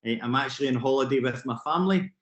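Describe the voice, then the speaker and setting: Middle-aged Glaswegian man, remote speaker on a video call, roomy sound, fast excitable delivery. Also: medium pitch. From Scotland. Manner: fast and excitable, with many fillers and restarts. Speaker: youth work programme director. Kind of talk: conference presentation over a video call. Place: remote, away from the venue.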